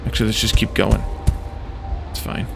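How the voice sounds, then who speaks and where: A man giving casual video game commentary as a let's play host, thinking aloud and musing to himself in short fragments. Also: normal speed. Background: game music.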